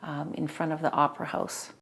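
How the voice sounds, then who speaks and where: A middle-aged woman from Canada, a mother and former dancer, recalling the past in a measured, reflective way. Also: deep voice, completely flat delivery, gravelly, a bit bright, breathy edge. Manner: measured, reflective, a few fillers. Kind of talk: interview reminiscence.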